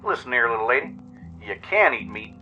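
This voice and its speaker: A man's voice: medium pitch, big pitch swings, fairly quick, lo-fi sound, soft background music, natural voice. A man voicing a folksy, insistent male companion in a radio adventure. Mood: pushy, teasing.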